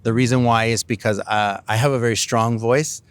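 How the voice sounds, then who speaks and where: A man's voice: mid-range voice, fairly even intonation, brisk, clean tone, dry recording. A middle-aged Canadian man, a city council candidate, answering interview questions in a rambling way with many fillers and restarts.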